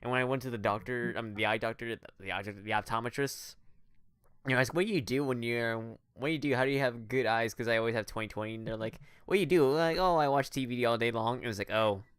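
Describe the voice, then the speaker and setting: A man's voice: mid-range voice, fairly bright tone, clean tone, dry recording, clean studio sound. A man co-hosting a podcast, chatting casually in an easygoing way. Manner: easygoing, quick to laugh. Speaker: podcast co-host. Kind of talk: casual chat.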